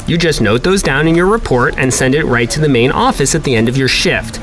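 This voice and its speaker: An actor playing a smooth, falsely cheerful office supervisor who brushes off questions. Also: male voice, mid-range voice, a little fast, fairly bright tone, faint music behind, lightly acted. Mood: breezy. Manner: breezy and dismissive, brushing off questions. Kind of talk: audio drama acting.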